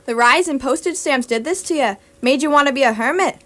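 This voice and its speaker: A woman's voice: sits high, fairly quick, a bit bright. A woman playing a concerned, soothing friend who offers daft advice in a comedic radio sketch. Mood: advising.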